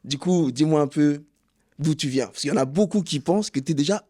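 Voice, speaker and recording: A man's voice: fairly high pitch, brisk, mellow. A man hosting a casual boxing podcast interview, friendly and enthusiastic. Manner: friendly, enthusiastic, repeats himself. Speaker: podcast host.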